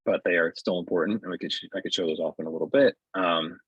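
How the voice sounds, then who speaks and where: A middle-aged man giving a casual webinar demo in a halting, conversational way, on dull video-call audio. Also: sits low, steady tone, fairly bright tone, husky, no echo. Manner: halting, conversational, often checks with "right?". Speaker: software developer presenting his tool. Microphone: earbuds on a video call.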